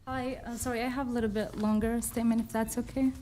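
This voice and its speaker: A woman's voice: fairly high pitch, flat delivery, fast, dark tone, slight background noise. A young woman, giving an earnest, somewhat hesitant statement at a council podium microphone. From Kurdistan region of northern Iraq. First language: Kurdish. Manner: earnest, slightly hesitant with fillers. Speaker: commission applicant. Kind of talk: prepared public statement. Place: council chamber.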